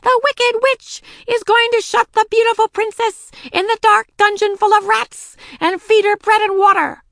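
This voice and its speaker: Storybook child adult female reader: excitable little girl playing witch, shrill high exclamations, big theatrical delivery, dry recording. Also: normal speed, vocal fry, few pauses, dry recording, distant mic, full character voice. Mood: gleefully wicked.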